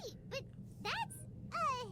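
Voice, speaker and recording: A woman voicing a tiny, chatty, childlike female companion in a video game, with a squeaky, sing-song delivery. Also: sing-song, slow, heavy background noise, full character voice.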